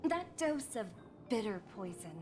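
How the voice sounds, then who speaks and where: A woman's voice: fairly high pitch, big pitch swings, a little slow, a little mellow, faint music behind. An actress playing a composed businesswoman in a video game, formal and inquisitive. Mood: probing. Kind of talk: scripted game dialogue.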